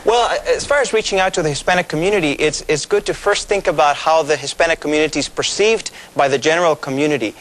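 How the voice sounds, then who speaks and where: Mexican-born pastor guy in a tv studio, lapel mic, calm and explanatory, lots of uh and um. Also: on the high side. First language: Spanish. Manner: measured, explanatory, with frequent fillers. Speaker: pastor, guest. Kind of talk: TV interview.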